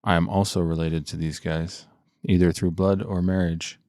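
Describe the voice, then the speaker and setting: Deep, smooth-voiced man in his thirties. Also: little pitch movement, moderate pace, a little mellow, no room sound, studio quality. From coastal Alaska. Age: 30s. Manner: informal, frequent "like" and "you know". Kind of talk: casual personal storytelling.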